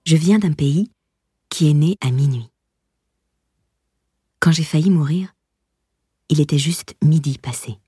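A woman narrating a memoir as an audiobook, in a breathy, husky voice with a measured, expressive literary delivery. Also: deep voice, no room sound, clean studio sound.

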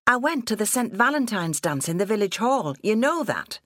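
A woman's voice: mid-range voice, big pitch swings, moderate pace, fairly bright tone, dry recording, studio quality, voice pushed up. A woman voicing a rebellious nineteen-year-old farm daughter in an audiobook, snappy and resentful. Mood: indignant. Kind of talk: audiobook character dialogue.

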